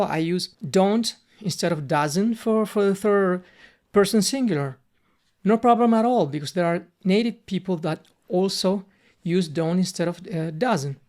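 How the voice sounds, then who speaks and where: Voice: mid-range voice, darkish tone. Cuban-accented middle-aged language tutor. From Cuba. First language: Spanish. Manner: conversational, earnest, repeats words while searching for them. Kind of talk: unscripted spoken lesson monologue.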